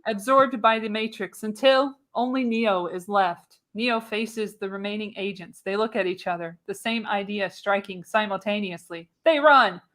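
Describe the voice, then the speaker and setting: A woman's voice: medium pitch, normal speed, few pauses, fairly bright tone. A woman reading screenplay narration for a live radio play, theatrical and emphatic on the action. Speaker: podcast host reading the narration.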